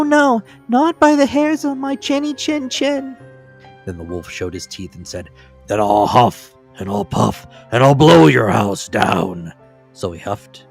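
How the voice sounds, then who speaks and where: Low-voiced man telling a fairy tale. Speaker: storyteller. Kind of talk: dramatic scripted fairy-tale narration.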